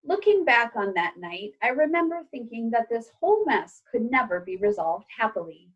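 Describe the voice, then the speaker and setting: A woman reading a children's storybook aloud like a teacher, with expressive, clearly phrased delivery. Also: on the high side, moderate pace, muffled recording.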